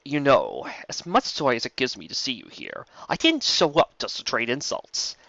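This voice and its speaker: A man's voice: mid-range voice, wildly swooping pitch, normal speed, gravelly, bright, forceful tone, no echo, exaggerated voice. A mocking serpentine spirit of chaos, acted out by a man, swoops theatrically through drawling sarcasm in a storybook reading. The sound is dry and a little dull. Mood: sarcastic, idle.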